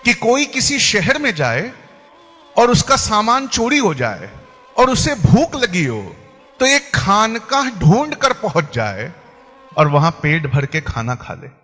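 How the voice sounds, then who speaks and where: A man with an Indian accent giving impassioned religious oratory in a deliberate, rhetorical, emphatic way. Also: mid-range voice, fairly bright tone. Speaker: religious speaker addressing a gathering. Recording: echoey hall PA with background music.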